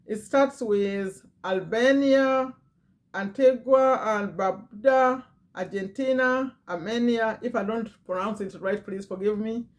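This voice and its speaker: A woman's voice: fairly high pitch, a little slow. A middle-aged woman with a foreign accent, a business owner talking casually to camera, repeating phrases and reading a list aloud. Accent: non-native English. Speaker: business owner and channel host. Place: Virginia, USA.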